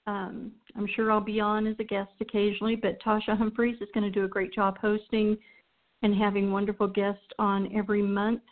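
Chatty woman host on a phone-like line. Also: medium pitch. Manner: informal, rambling, chatty. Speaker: radio show host. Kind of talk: casual unscripted show sign-off.

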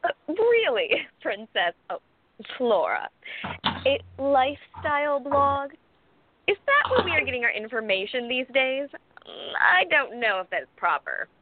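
Wary, proper bodyguard woman played by an actress, swooping pitch. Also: sing-song, measured pace, slight background noise. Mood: skeptical. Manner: skeptical, dryly deferential. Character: wary, proper female major, princess's protective bodyguard. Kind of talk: radio play acting. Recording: thin, dry phone-like sound.

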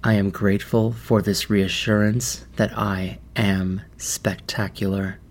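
A man leading a guided meditation in a measured, deliberate manner with long pauses. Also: on the low side, level tone, a little hiss or hum.

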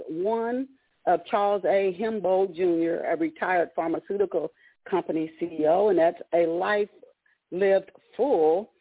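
A woman's voice: mid-range voice, husky, dry recording. A woman hosting a book interview show, introducing her guest with chatty, repetitive phrasing. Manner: warm chatty introductions with repeated words and restarts. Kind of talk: radio interview call-in. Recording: dry tinny phone line.

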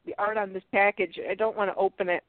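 A woman's voice: medium pitch, flat delivery, no echo. A woman with a stuffed-up nose chatting casually while reviewing beauty products and reading package text aloud, often restarting her sentences. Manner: chatty, halting restarts, light laughs. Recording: tinny phone-like line, dry.

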